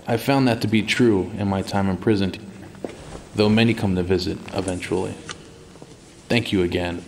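A man playing a cold, reserved tall elf in a fantasy audio drama, speaking in a hushed voice. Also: low voice, gravelly, a little hiss or hum.

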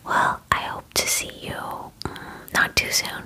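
Woman in her twenties, soft whisper, unhurried pace, soothing ASMR spa roleplay, close-miked. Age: 20s. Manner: gentle, soothing, reassuring, unhurried. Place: small bedroom, indoors. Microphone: close microphone, near the camera.

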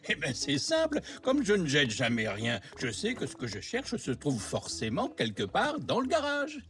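A lively, kindly old zebra grandpa. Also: male voice, fairly high pitch, moderate pace, soft background music. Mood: cheerful, proud. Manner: animated, chuckling, reassuring. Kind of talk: French children's cartoon acting.

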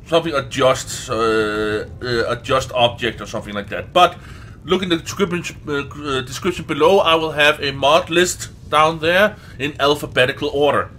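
A man who is not a native English speaker gives casual gameplay commentary, haltingly searching for words and swearing now and then. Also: fairly high pitch, pushed and bright, a little hiss or hum. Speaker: let's play host.

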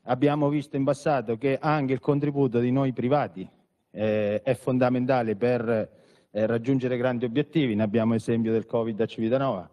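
Earnest businessman with a mellow voice. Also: mid-range voice, fairly even intonation, normal speed, lo-fi sound. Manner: earnest, with frequent hesitation sounds. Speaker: entrepreneur and sponsor. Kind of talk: short prepared remarks at a press conference. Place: large conference hall with an audience. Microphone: handheld microphone.

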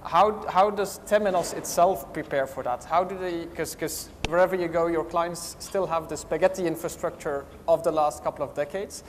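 Male moderator, slightly high, roomy hall. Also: moderate pace, few pauses. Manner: conversational, repeats words when starting questions. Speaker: panel moderator. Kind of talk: moderating a conference panel. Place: large hotel conference hall. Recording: hall reverb with faint noise.

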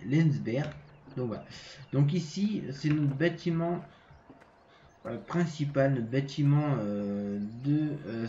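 French man, stuffy from a cold, hesitant gaming commentary, mellow tone, slightly roomy and dull mic. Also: medium pitch, slight background noise. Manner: hesitant, many fillers and restarts. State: has a cold. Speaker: gaming channel host. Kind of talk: casual game walkthrough commentary.

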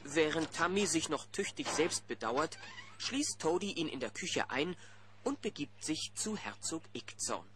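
A man's voice: fairly high pitch, sing-song, unhurried, fairly bright tone, a little hiss or hum. A man playing the wry narrator of a children's audio drama, commenting on the characters as he tells it. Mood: wry storytelling. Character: storyteller who comments wryly on the characters.

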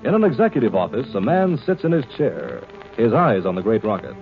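A man announcing and narrating a science fiction radio drama in a formal, measured 1950s announcer style. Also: on the low side, sing-song. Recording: dull old radio recording over music.

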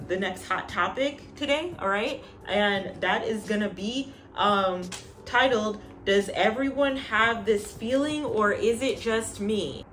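A woman's voice: mid-range voice, slow, a bit bright. A woman in her late twenties talking casually and candidly to camera as a vlogger, with colloquial, slangy phrasing. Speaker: host of a personal vlog channel. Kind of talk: casual opinion chat to camera. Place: room at home, indoors by a door.

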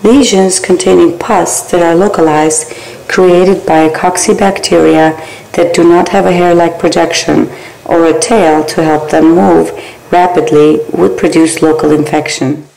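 Gravelly low-voiced woman reading slides. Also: reverberant, a little hiss or hum, rough recording. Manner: steady, measured slide reading with small grammar slips. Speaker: barbering theory instructor. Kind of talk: reading exam slides aloud.

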